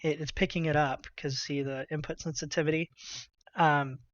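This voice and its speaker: A man giving an informal, unscripted software walkthrough into a headset mic, casual and halting as he thinks aloud. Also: a little high, little pitch movement, dry recording, highs cut off. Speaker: tech tutorial presenter. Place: indoors at a computer. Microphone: computer headset mic.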